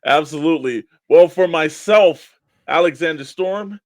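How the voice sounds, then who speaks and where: A man's voice: a little high, a little slow, no room sound. A man co-hosting a toy collectors' show, bantering casually and plugging programs with emphatic hype. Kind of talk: casual radio-style show banter and plugs.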